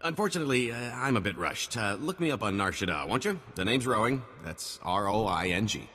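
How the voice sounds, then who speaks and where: A shifty alien smuggler in a video game, talking like an oily salesman. The voice is lowish, bright and rough-edged, evasive and glib, with long reverb around it. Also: lively intonation, a little fast, full character voice. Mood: glib, rushed. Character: slippery male alien smuggler posing as a glib merchant.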